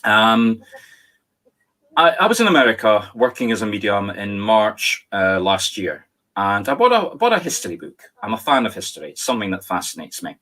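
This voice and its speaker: A man with a Scottish accent speaks in a fairly low voice. He tells history as a winding story, often correcting himself mid-sentence. The sound comes through a video call. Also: big pitch swings, moderate pace, pushed and bright. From Scotland. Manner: discursive, storytelling, self-correcting. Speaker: spiritualist medium. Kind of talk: online talk, lecturing on history. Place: home, on video call with virtual background.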